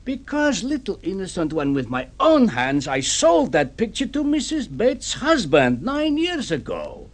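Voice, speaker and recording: A rotund, shrewd art dealer and father from a golden-age radio drama. His voice is high for a man and swoops up and down extravagantly. He is theatrical and indulgent, forever lecturing with glee. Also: wildly swooping pitch, measured pace, hardly any pauses, heavily acted. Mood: smug. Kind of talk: 1950s radio drama acting.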